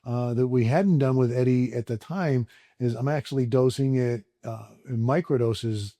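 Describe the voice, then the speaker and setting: A man speaking as a doctor, explaining treatment conversationally in a halting, measured way. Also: medium pitch, dark tone, dry recording, studio quality. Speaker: doctor, sponsor guest.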